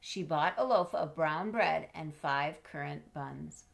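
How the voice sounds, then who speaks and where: A middle-aged woman with a slightly low voice reads a children's story aloud. She is expressive and teacherly, moving between storybook narration and simple questions or explanations for young listeners. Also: slow, creaky. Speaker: storyteller reading a picture book. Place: small indoor home room with bookshelves.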